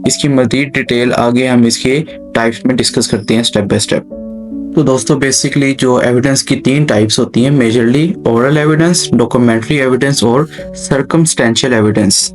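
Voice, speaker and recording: A man's voice: medium pitch, normal speed. A man with a Pakistani accent giving an explanatory lecture in simple terms, addressing listeners directly in an instructive way. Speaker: law lecturer and channel host. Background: background music.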